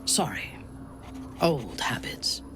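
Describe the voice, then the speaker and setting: A woman playing a proud, vengeful, long-lived dark elf noblewoman in a video game, speaking in a measured, guarded, formal manner. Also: very deep voice, sing-song, whispered, full character voice. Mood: tender, candid. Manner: measured, deliberate, grave, formal phrasing. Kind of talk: dramatic video game acting. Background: background music.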